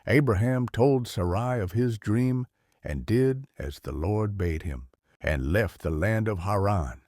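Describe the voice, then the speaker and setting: A man narrating a scripted story solemnly as narrator, with a husky voice. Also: on the low side, measured pace, darkish tone, no room sound, clean studio sound.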